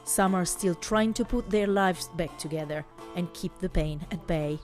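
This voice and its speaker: A woman's voice: fairly low pitch, moderate pace, darkish tone. A woman reporter reading scripted narration for a news report. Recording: music bed behind voice. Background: music.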